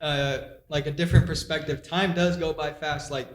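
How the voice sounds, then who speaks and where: A man's voice: on the high side, fairly even intonation, moderate pace, slight background noise. A young man on a casual podcast chat, talking earnestly about life and perspective. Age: young adult. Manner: earnest, philosophizing. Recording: echoey room.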